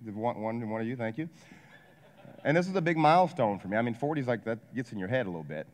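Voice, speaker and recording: A man's voice: mid-range voice, fast talker. 40s guy from ohio pumping up a crowd at a seminar, lots of questions to the room, big hall echo. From Ohio, USA. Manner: energetic, asks the crowd questions, jokes. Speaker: wealth advisor and coach giving a seminar talk. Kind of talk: motivational seminar talk to a live audience. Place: large hall with a live audience. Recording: long hall reverb.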